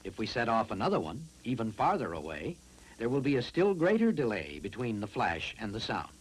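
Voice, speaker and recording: A man reads scripted science narration for a classroom film, explaining in a deliberate, instructive way. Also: on the low side, huge pitch swings, slightly rough, rough recording.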